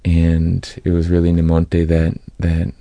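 A middle-aged American man from California giving a reflective, halting personal account as an interview guest. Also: low-pitched, completely flat delivery, warm tone, no echo. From California, United States.

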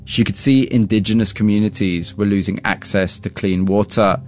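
A man's voice: on the low side, steady tone, moderate pace, darkish tone. A man hosting an interview, moving between scripted storytelling narration and questions to his guests.

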